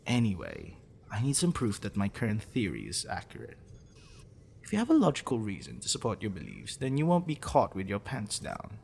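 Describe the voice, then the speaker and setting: Obsessive, smugly intellectual male professor played by a man for ASMR roleplay; low-pitched, animated, condescending, with whispered asides. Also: slight background noise, full character voice. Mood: smug, clinical. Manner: condescending, teasing, deliberate, dropping into breathy whispers. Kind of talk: ASMR roleplay, addressing the listener intimately.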